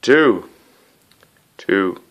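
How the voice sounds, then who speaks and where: Slow-talking, low-voiced man reviewing gadgets. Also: lively intonation, dull audio. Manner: rambling, apologetic asides. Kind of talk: casual hands-on video review. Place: living room with wooden floor and rug.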